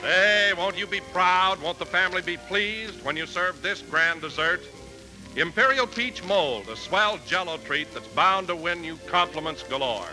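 A man's voice: sits high, expressive, a little slow, sharp tone, rough recording. A man announcing on 1940s radio with enthusiastic sales patter. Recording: vintage radio broadcast, music and audience behind.

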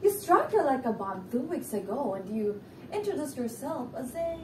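A young woman acting a talkative, mocking woman in a stage play, with theatrical, deliberate delivery. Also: sits high, big pitch swings, dark tone, a little hiss or hum. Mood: reflective, flattering. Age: young adult. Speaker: stage actor. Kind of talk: theatrical one-act play dialogue. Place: indoor stage set with living-room furniture. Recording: roomy stage, distant mic, music underneath.

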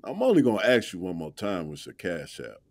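A man's voice: sits low, wildly swooping pitch, fairly quick, heavily acted. An actor playing a protective, street-smart young man in an audio drama, speaking in slangy, assertive dialogue. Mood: firm, insistent.

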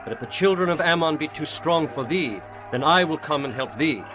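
A man's voice: fairly high pitch, expressive, fairly quick, close to an everyday voice. A man playing an earnest, resolute ancient Israelite soldier in a dramatized scripture reading, declamatory and solemn. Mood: rallying. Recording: music behind the voice.

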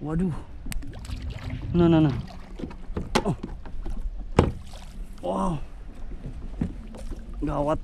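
A young man fishing from a kayak at sea, exclaiming and groaning excitedly as he talks to his action camera. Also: on the high side, extremely slow, gravelly, pauses often. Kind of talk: casual first-person fishing vlog. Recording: outdoor wind and water noise.

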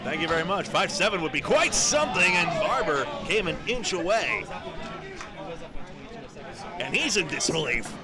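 A man's voice: sits high, very animated, normal speed, pauses often, over loud music. A man with a New England accent giving chatty, joking analysis during a live candlepin bowling broadcast. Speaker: color commentator. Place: bowling alley. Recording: crowd noise behind.

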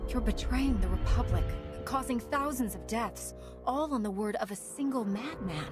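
An actress playing a steady, earnest warrior-monk heroine in a video game, speaking firmly. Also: female voice, fairly high pitch, moderate pace, over music, natural voice. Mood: challenging. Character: steady, determined woman warrior-monk hero.

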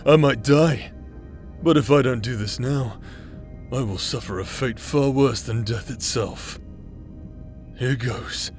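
A man's voice: medium pitch, slow pace, pauses often, soft background music. A man voicing a proud, foul-mouthed, grumpy warrior in a comedic fantasy audio drama, with a sardonic, irritable manner. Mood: grim, weary.

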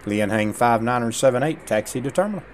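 A middle-aged man playing an air traffic controller, reading radio clearances with drawling, casual asides between calls. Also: mid-range voice, lively intonation, quick pace. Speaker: gameplay streamer. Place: small home room indoors.

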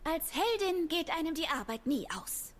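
High, perky young inventor girl. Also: moderate pace. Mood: cheeky. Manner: chatty, excitable, teasing. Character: perky, cheeky young female gnome-like inventor. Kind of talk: video game voice acting.

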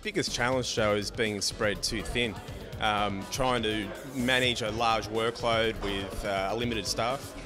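A man's voice: fairly low pitch, fairly even intonation, measured pace. A man in his forties, a small business owner, answering thoughtfully with restarts and uhs. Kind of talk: vox pop interview answer. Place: indoor function room. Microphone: handheld interviewer mic. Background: background music.

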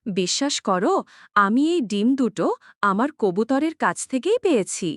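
A woman's voice: a little high, very animated, normal speed, no room sound, studio quality. A woman voicing a caring, eager young village girl in a cartoon. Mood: earnest.

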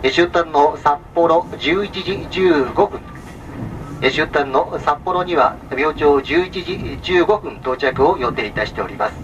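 A man's voice: a little high, distant mic. A man working as a train conductor, making a formal, polite announcement over the public address speaker of a sleeper train. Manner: formal, polite, measured listing with small filler sounds. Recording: muffled train PA from afar, vehicle noise.

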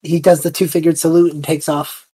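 A woman's voice: sits low, flat delivery, fairly quick, mellow, husky. A woman casually describing her character's actions as a player in a tabletop game, loose and conversational. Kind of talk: casual improvised play narration.

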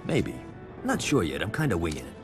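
A trigger-happy tough guy from a video game. His voice sits very low and swings around in pitch, yet the delivery stays blunt and offhand. Music plays behind him. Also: wildly swooping pitch, a little fast. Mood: deadpan, threatening. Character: gruff, cocky, trigger-happy tough antihero man.

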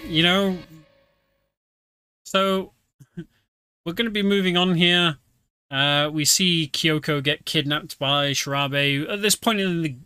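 A man streaming a game, explaining the story and fights in a relaxed, chuckling way. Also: a little high, clear, resonant tone, no room sound. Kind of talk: casual game commentary. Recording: game music and sounds behind. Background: game music.

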